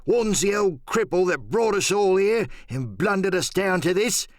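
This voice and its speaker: A man's voice: high-pitched, animated, normal speed, no room sound, studio quality, pitched above the performer's own voice. A man voicing a furious, mocking pirate mutineer, roaring out taunts in an audiobook drama. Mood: rabble-rousing.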